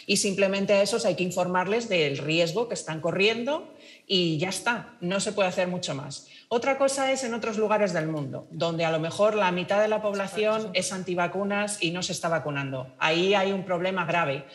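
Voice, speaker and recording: A woman researcher joins the discussion from her office over a video call. She explains her view in a steady, even way. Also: fairly low pitch, normal speed. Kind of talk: remote panel contribution. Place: office with shelves.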